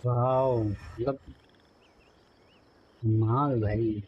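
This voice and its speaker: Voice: mid-range voice, fairly even intonation, slow pace, highs cut off, slight background noise. A game livestreamer, reacting casually during live play.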